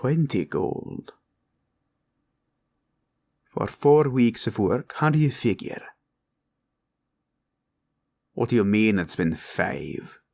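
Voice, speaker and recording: A man playing a greedy, menacing green dragon in a villain roleplay audio, gravelly and drawling as he gloats and haggles. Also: on the low side, very animated, a little mellow, heavily acted. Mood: grudging, haggling. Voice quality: gravelly, creaky. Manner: slow, drawling, grumbling and haggling.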